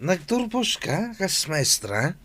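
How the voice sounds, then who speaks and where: A man's voice: high voice, very animated, slow pace, fairly bright tone, full character voice. A man playing a flippant, grumbling young husband in an Ilocano comedy radio drama. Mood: teasing.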